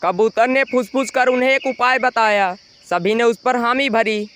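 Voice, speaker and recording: Very high-voiced smooth male story narrator. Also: normal speed, a bit bright. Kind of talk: scripted children's story narration.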